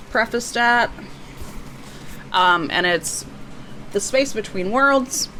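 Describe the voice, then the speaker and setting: A woman with a slightly hoarse, tiring voice, chatting casually and a bit self-deprecatingly as she presents an unboxing in a rambling way. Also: fairly high pitch, fairly bright tone, a little hiss or hum, low-quality recording. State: losing her voice, slightly hoarse. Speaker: book vlogger.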